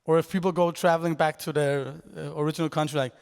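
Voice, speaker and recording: A man's voice: fairly high pitch, steady tone, quick pace, clear, resonant tone. A young man from Denmark presenting his podcast at a conference, talking casually and rambling with fillers and restarts. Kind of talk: conference talk. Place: large conference hall. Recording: reverberant hall.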